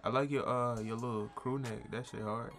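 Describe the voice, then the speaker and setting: A young man streaming random video chats, talking to strangers in a low, mumbled, laid-back slang delivery. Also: fairly even intonation, moderate pace, over loud music. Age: young adult.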